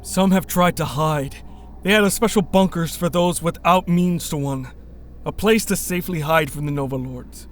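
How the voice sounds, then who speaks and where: A man's voice: a little high, normal speed, close to an everyday voice. A man acting a guarded, stubborn rebel in a sci-fi radio drama, earnest and formal in his speech. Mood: firm, defensive.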